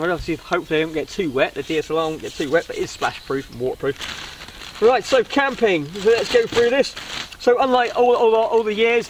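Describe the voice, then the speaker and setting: A man's voice: sits high, a bit bright. A man in his thirties giving a casual, informal gear review to camera, rambling a little and hesitant. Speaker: vlogger reviewing budget camping gear. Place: outdoors on a wooden riverside deck. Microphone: camera a couple of metres away. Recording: outdoor recording, distant mic, background noise.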